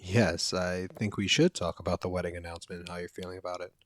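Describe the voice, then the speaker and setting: A man's voice: deep voice, level tone, fast talker, lightly acted. A man playing a caring, protective young college friend in an intimate, one-sided audio roleplay, speaking in an earnest, conversational way. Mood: tender, reassuring.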